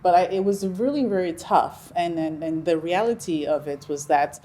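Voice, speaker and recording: A middle-aged woman from Nigeria, a Yoruba speaker, talking about her life in a relaxed, reflective interview, with frequent ums and restarts. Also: fairly low pitch, moderate pace, a little hiss or hum. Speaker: interview guest. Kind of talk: conversational interview.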